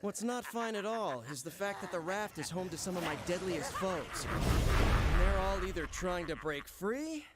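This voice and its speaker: A wisecracking young superhero. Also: male voice, high-pitched, lively intonation, heavy background noise. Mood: joking. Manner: joking, quick quips. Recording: game cutscene with loud music.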